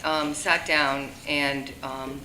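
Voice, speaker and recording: A woman's voice: extremely low pitch, little pitch movement, extremely slow, pushed and bright. A woman walking a committee through a budget at a public meeting, chatty and correcting herself with fillers. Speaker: school budget administrator. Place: meeting room. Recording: echoey room, background noise.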